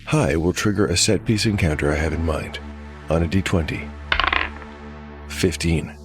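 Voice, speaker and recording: A man acting as game master and narrator of a dark fantasy audio drama. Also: low voice, normal speed, over music.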